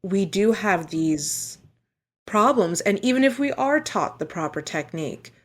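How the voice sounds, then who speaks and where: A woman's voice: medium pitch, lively intonation, unhurried. A woman co-hosting a podcast about singing, earnest and explanatory as she introduces topics and shares her view. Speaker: podcast co-host. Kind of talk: scripted intro, then casual chat.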